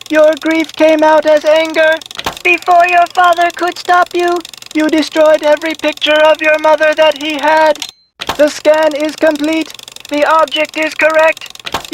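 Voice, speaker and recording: High-pitched, quirky talking memory machine. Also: female voice, slight background noise. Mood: robotic, matter-of-fact. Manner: stilted, formal robotic phrasing with playful flourishes. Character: quirky talking memory machine, chirpy and programmed, riddle-giving. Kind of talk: video game character voice acting.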